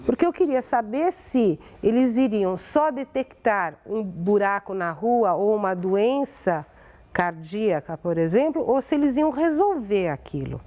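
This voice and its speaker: A woman's voice: mid-range voice, dark tone. A woman with a Brazilian accent giving spontaneous interview testimony.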